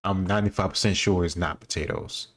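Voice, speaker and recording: A man's voice: low voice, steady tone, fast talker. A man speaking informally as a host, reacting casually and thinking aloud as he answers quiz questions.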